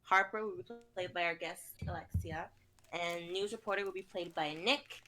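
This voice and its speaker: A woman's voice: on the low side, steady tone, normal speed, sharp tone, soft background music. A woman in her twenties reading stage directions and introducing a script as a performer on a group stream. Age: 20s. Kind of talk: scripted narration and casual chat.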